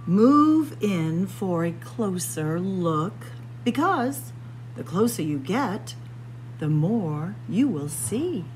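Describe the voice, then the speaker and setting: Slow, lively woman reading a picture book. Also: on the low side, slight background noise. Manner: sing-song, drawn-out phrasing with dramatic pauses. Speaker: library story time host. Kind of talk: picture book read-aloud for young children. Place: indoors among library bookshelves.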